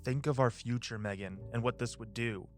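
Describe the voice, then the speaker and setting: A man's voice: medium pitch, a little fast, soft background music, natural voice. A man acting in an audio drama as an impatient, dismissive husband, pushy and exasperated as he argues his case. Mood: pleading. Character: impatient, dismissive husband eager to sell inherited land.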